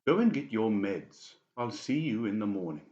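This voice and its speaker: A man acts out a menacing male doctor from an audiobook drama. He gives curt, arrogant orders, louder than plain talk, in a roomy and slightly dull recording. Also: on the low side, big pitch swings, moderate pace. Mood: stern threat. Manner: curt, commanding.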